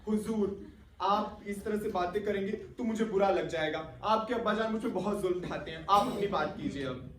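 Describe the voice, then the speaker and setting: A man's voice: high-pitched, moderate pace, a little hiss or hum, natural voice. A man playing a cheeky, put-upon manservant in a comic stage play, deferential yet impudent. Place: theatre stage, distant microphone. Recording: echoey distant stage recording with audience.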